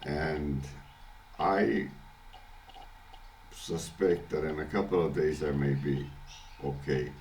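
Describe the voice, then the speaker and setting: An older man with a congested, hoarse voice from illness, talking in a halting casual video diary at home, often chuckling. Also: extremely low pitch, a little mellow, a little hiss or hum. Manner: halting, many restarts and fillers, chuckles often. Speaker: vlogger. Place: at home, early morning.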